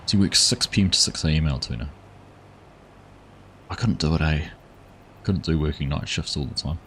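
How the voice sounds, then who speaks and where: Game streamer: male, New Zealand accent, very low voice, relaxed rambling chat, faint hum behind. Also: normal speed. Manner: relaxed, rambling, chats with viewers. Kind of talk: casual gameplay livestream chat.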